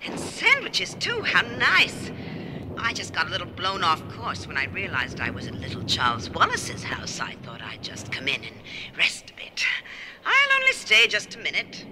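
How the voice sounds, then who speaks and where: A woman's voice: lowish voice, wildly swooping pitch, normal speed, background noise. A woman playing an eccentric, cheerful, mysterious old wanderer in an audio drama, chatty and whimsical. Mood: cheerful, settling in.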